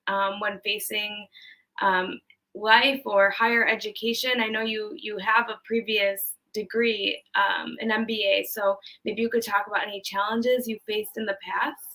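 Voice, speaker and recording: A woman's voice: mid-range voice, pushed and bright, poor audio. A woman hosting a live online event, chatting in an upbeat way with many ums. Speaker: social media manager hosting a livestream. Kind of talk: livestream hosting.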